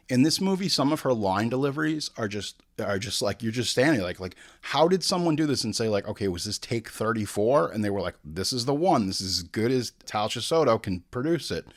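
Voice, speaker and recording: A man hosting a show with casual, opinionated film commentary, swearing freely and acting out imagined bits of dialogue. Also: on the low side. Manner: informal, frequent swearing. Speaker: show host.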